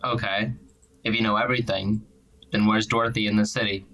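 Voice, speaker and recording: A man's voice: on the low side, flat delivery, brisk, bright, forceful tone, poor audio. A man playing a suspicious, accusing character in a tabletop drama, pressing with terse, pointed questions. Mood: pressing, stern. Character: suspicious, accusing, dogged interrogator named Dakota.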